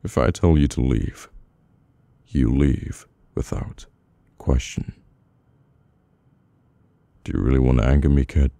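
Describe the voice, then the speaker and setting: A man with a British accent playing a brooding, domineering, burdened mafia boss in an intimate, halting ASMR audio roleplay. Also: mellow, dry recording, full character voice. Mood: cold, dismissive. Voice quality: very deep, gravelly. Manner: slow, halting, weary menace.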